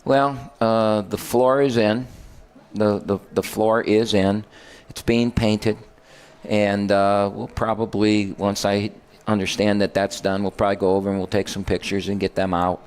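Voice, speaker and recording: Older man, rambling coach, low voice. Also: level tone, measured pace, a little mellow, clean tone. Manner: rambling, self-correcting storyteller. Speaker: college basketball head coach. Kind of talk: casual radio chat.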